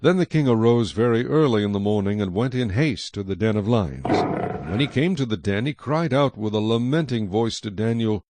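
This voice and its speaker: A man's voice: lowish voice, lively intonation, normal speed, a little mellow, a little hiss or hum. A man reading scripted narration as the narrator of a dramatized Bible recording.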